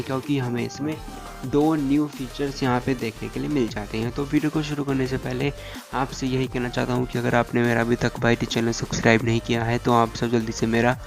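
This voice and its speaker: A man's voice: mid-range voice, vocal fry. A man giving a step-by-step phone tutorial as a YouTuber, repeating instructional phrases and mixing in English tech terms.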